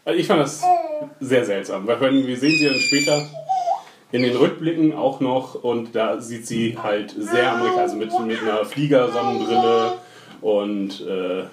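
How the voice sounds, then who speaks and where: A man's voice: mid-range voice, normal speed. A man recapping a TV episode in casual chat, wry and ironic, retelling scenes in mock quotes. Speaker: podcast co-host. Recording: echoey room with faint noise.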